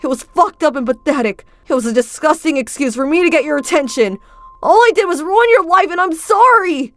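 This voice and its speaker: A woman's voice: mid-range voice, moderate pace, lightly acted. A woman voicing a guilty, self-loathing teenage boy who stammers anxiously. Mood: remorseful.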